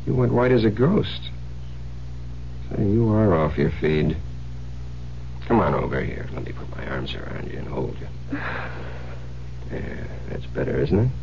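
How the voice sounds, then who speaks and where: Very deep, gravelly sardonic American husband. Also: male voice, lively intonation, unhurried, long pauses, exaggerated voice. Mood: coaxing. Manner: smooth-talking, teasing, faintly menacing. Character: sardonic murderous husband posing as loving newlywed. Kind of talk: radio drama acting. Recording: dull, noisy old radio recording with hum.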